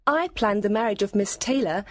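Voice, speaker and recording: A woman's voice: medium pitch, normal speed, dry recording, lightly acted. A woman playing a confident, playful and self-satisfied young lady in an audiobook. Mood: confident, proud. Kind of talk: audiobook character acting.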